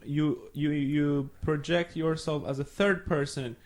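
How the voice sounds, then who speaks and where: A man's voice: fairly high pitch, fairly even intonation, unhurried. A young man from Iran whose first language is Farsi, a podcast guest talking casually and searching for his words in English. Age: young adult. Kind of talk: casual chat.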